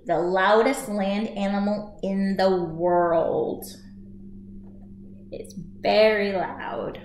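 A woman in her thirties reading a picture book aloud for young children as a storytime host, expressive and clearly paced. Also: medium pitch. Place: small room at home, indoors by a shuttered window. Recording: echoey home room.

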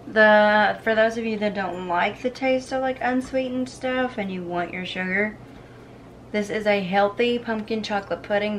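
A woman's voice: medium pitch, slight background noise. A young woman in her twenties chatting casually while cooking in her home kitchen, filmed on a camera set on the counter. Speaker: cooking vlogger.

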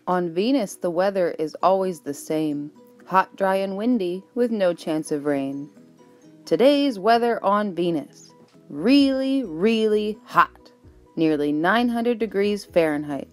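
A woman reading a rhyming children's book aloud with a measured, rhythmic delivery. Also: mid-range voice. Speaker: storybook reader. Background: faint music.

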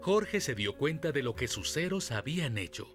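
A man with a Latin American Spanish accent, narrating a children's story in an expressive, scripted storytelling style. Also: medium pitch, moderate pace. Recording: music under the voice.

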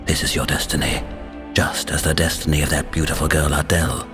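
An actor playing a menacing Saracen warrior villain in a video game, persuasive and grandiose in an ominous way. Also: male voice, very deep voice, little pitch movement, a little fast, over music. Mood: fervent, grandiose. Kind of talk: dramatic video game voice acting.

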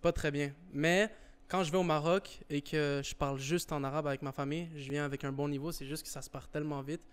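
Brief: young male podcast host, smooth clear voice, a touch high, animated. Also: a little fast. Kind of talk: casual podcast chat. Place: indoor podcast set with couches. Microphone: handheld mic.

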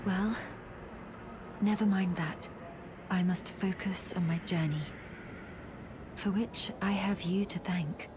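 An actress playing a soft-spoken, melancholy young woman traveller who talks in a hushed, wistful way. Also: mid-range voice, steady tone, takes it slowly, pauses often, lightly acted. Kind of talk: video game voice acting. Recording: game cutscene with ambient noise.

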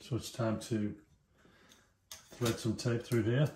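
Male demonstrator, medium pitch, casual running commentary while handling gear, noisy recording with clicks. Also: level tone, takes it slowly, a little mellow. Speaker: host of a hands-on vintage electronics video. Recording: clear background noise with clicking.

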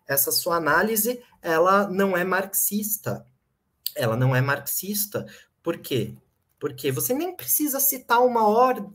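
A man in his thirties with a Brazilian Portuguese accent, giving a lively, ironic online lecture on philosophy from a home room with bookshelves, speaking to a desk webcam. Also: high voice, husky. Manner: lively, ironic, explains and jokes with the audience. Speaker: philosophy lecturer and channel host.

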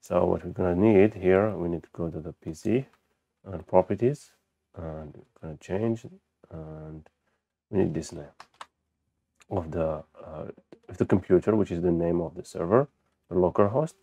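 A man who speaks as a gives an unscripted software walkthrough, haltingly thinking aloud as he demonstrates. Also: low-pitched, gravelly. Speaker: software tutorial presenter. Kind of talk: unscripted screen-recorded tutorial walkthrough. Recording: dull, lo-fi close microphone.

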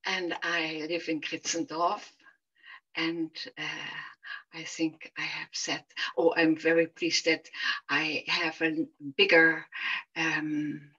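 Very slow, bright older Austrian woman. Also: low voice, little pitch movement, husky. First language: German. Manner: halting, searching for words. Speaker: participant in an online women's group. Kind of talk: personal check-in sharing, conversational. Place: indoor room at home, on a video call. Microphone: computer mic on video call. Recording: dull, muffled video-call audio.

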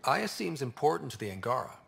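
An actor playing a friendly, curious young human space explorer in a video game, conversational and inquisitive. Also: male voice, medium pitch, sing-song, a little fast, vocal fry, a bit bright, exaggerated voice.

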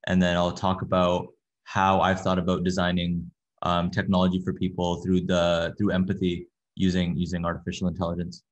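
A young man from Canada giving an online workshop lecture in a soft-spoken, hesitant way, with many fillers and repeated words, over a laptop webcam. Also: low voice, completely flat delivery, brisk. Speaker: workshop presenter. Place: small room with a window, indoors. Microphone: laptop webcam on a video call. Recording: dull lo-fi video call audio.

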